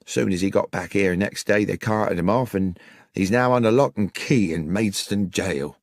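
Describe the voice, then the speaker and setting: A man's voice: lowish voice, moderate pace, no room sound, clean studio sound. A man voicing an earnest, worried older village publican with a British accent, plain-spoken and insistent. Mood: insistent, anxious.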